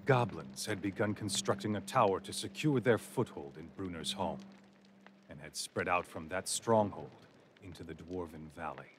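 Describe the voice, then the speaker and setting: A man narrating a fantasy game story in a grave, measured tone. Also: low-pitched, noisy background, close to an everyday voice. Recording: music bed.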